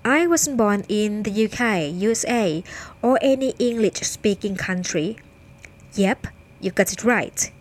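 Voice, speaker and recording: A woman's voice: medium pitch, animated, unhurried. A woman from Thailand with a Thai accent, hosting her own podcast in an upbeat, chatty way. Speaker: podcast host. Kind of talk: casual solo podcast chat.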